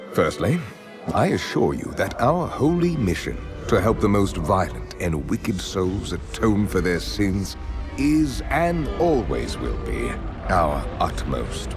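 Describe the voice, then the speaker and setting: An actor playing a grandiose aristocratic vampire lord in a video game, speaking in measured, theatrical, pompous oratory. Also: male voice, low-pitched, exaggerated voice. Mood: solemn, stately. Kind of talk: dramatic scripted game monologue.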